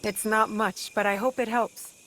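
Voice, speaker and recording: A woman's voice: mid-range voice, steady tone, moderate pace, a bit bright, a little hiss or hum, natural voice, rough recording. An actress playing a young, resolute and loyal female shinobi in a game cutscene, earnest and direct. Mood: calm, kind. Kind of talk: dramatic game cutscene acting.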